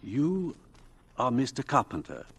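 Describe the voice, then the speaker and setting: An actor in a radio drama playing an eminent professor, formal and courteous, with a hesitant, curious manner. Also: male voice, medium pitch, sing-song, unhurried, fairly bright tone, husky, heavily acted. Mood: courteous, intrigued.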